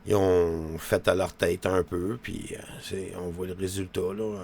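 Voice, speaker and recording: A man with a Quebec French accent talks casually to his viewers in a low, creaky voice. His delivery rambles and is very colloquial, peppered with small tags. There is noticeable noise behind him. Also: little pitch movement, measured pace, a little mellow. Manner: colloquial, with frequent verbal tags. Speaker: vlogger.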